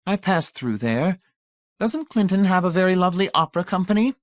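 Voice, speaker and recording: A man voicing a gushing, bland society matron with a high, fluttery voice. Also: sing-song, a little fast, dry recording, clean studio sound. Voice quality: high and gushy.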